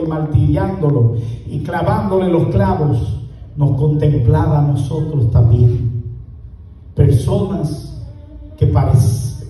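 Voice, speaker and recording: A man preaching an impassioned sermon to a congregation, shouting and drawing out words for emphasis. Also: on the high side. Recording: very echoey, from far off.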